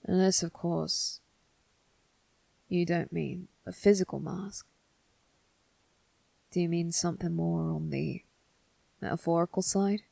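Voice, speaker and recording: Woman voicing a husky, creaky demon servant. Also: lowish voice, takes it slowly, pauses often, mellow, no echo, heavily acted. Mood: sly, menacing. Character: teasing demon servant triplets, sly and menacing. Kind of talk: ASMR roleplay acting.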